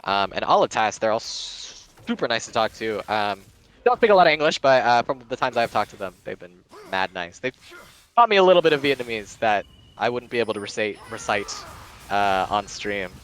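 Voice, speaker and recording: A man's voice: on the low side, expressive, a little fast, fairly bright tone, smooth. A man giving live play-by-play commentary on a video game match, mixed with casual chat.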